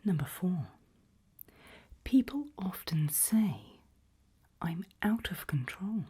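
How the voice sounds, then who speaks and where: A woman in an ASMR roleplay as a politely sinister HR interviewer, whispering and murmuring softly in a deliberate, measured way with pauses. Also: very animated. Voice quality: breathy whisper with soft low murmurs. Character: calm, politely sinister HR interviewer at an evil organisation.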